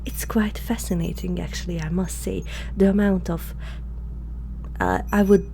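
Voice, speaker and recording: A woman voicing a curious, eager and polite alien scientist in an ASMR roleplay, speaking softly, formally and a little hesitantly. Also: on the low side, fairly even intonation, moderate pace, vocal fry, a little hiss or hum. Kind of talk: ASMR roleplay addressed to the listener.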